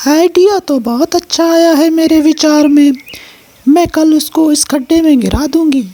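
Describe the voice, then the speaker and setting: Scheming female bird, cartoon, high dark voice; woman performer. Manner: sly, plotting.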